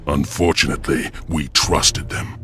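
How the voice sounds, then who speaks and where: An actor playing a grave, defiant enslaved warrior in a video game, speaking in a solemn, resolute way. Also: male voice, very deep voice, measured pace, bright, forceful tone, faint music behind, exaggerated voice. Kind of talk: dramatic scripted game narration and dialogue.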